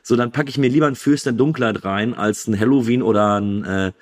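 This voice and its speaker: A man in his thirties hosting a podcast, talking in a relaxed, chatty way with frequent fillers. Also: medium pitch, a little fast. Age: 30s. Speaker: podcast host. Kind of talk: casual podcast conversation.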